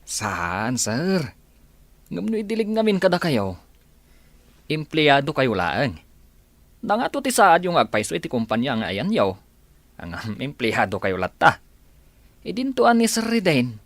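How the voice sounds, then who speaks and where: A man's voice: on the high side, wildly swooping pitch, measured pace, pauses often, a bit bright, dry recording, exaggerated voice. A man with an Ilocano accent playing a cheeky, boastful driver in a radio comedy drama. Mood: tactless.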